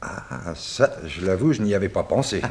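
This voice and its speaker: An actor playing an earnest, worried police chief in a French radio drama, speaking in a deliberate, slightly hesitant way. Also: male voice, low voice, animated, darkish tone. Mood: concerned, puzzled. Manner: deliberate, hesitant, matter-of-fact.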